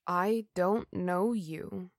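A woman's voice: medium pitch, expressive, takes it slowly, no room sound, clean studio sound. A woman voicing a furious young ghost woman. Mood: flat. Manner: shouting, swearing.

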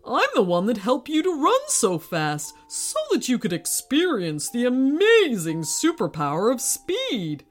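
A woman voicing a friendly magical talking basketball who speaks in a coaxing, gentle way. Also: fairly high pitch, huge pitch swings, a little slow, few pauses, soft background music.

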